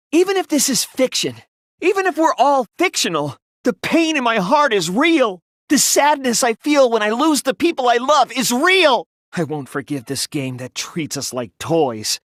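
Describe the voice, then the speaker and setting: A man's voice: extremely high pitch, very animated, normal speed, dry recording, clean studio sound, exaggerated voice. A young man voicing an earnest, wounded but defiant teenage boy detective in a dramatic video game dub, emotional and emphatic. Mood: impassioned defiance, then quiet, somber determination. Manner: emotional, emphatic, swinging from hushed to impassioned.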